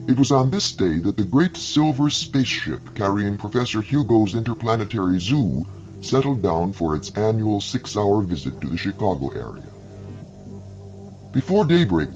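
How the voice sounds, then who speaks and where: Animated low-voiced male storyteller, slightly dull sound. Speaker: narrator. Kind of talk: dramatic scripted story narration.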